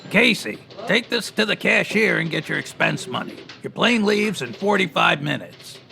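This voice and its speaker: A brusque city editor in a radio drama. The voice is heavily gravelled and sharp, pitched high for a man, handing out clipped commands with no patience. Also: lively intonation, normal speed, faint music behind, full character voice. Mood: brusque, commanding. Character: brusque, bossy male newspaper city editor. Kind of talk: old-time radio drama acting.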